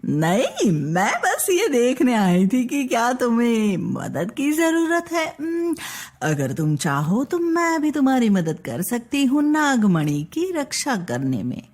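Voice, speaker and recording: A woman voicing a dangerous, scheming female serpent in an animated bedtime story, sly and musing with little hums. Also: a little high, slow, exaggerated voice. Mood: falsely helpful.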